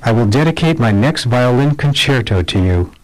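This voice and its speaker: A man's voice: medium pitch, lively intonation, normal speed, rough recording. A man reading scripted practice dialogues for a language course, carefully and evenly. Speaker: dialogue reader.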